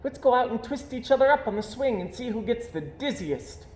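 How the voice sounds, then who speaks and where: A man's voice: very high voice, animated, fairly quick, darkish tone, pitched above the performer's own voice. A man voicing a bossy, impatient young girl bear cub in a storybook, exclaiming and pushy. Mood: eager, bossy. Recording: roomy echo, faint background noise.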